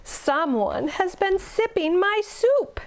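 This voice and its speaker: A woman's voice: very high-pitched, sing-song, slow, voice pushed up, full character voice. A woman voicing a fussy mama polar bear in a storybook reading, huffing and growling. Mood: huffy.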